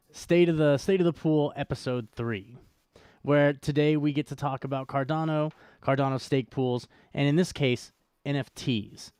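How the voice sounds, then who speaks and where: A man with an average-pitched voice talks as the host of a live stream. He explains things conversationally and without a script. The sound is dry, with very little room on it. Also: a little mellow, clean tone, no room sound. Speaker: podcast host.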